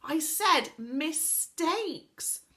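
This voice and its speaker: A woman's voice: medium pitch, sing-song, unhurried, sharp tone, full character voice. A woman voicing a friendly, sensible young boy who patiently explains things.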